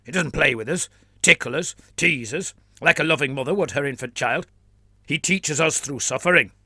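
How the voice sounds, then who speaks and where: A man's voice: a little high, normal speed, pushed and bright, no echo, heavily acted. Brief: a man doing a gaunt zealot warrior, fervent and declaiming, dry close mic. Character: gaunt fanatical male flagellant captain, fervent.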